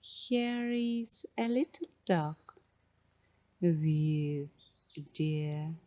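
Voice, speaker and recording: Very slow, soft-spoken ASMR woman. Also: sits low, lively intonation, no echo. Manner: slow, dreamy, halting short phrases. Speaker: ASMR host. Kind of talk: ASMR guided coloring narration.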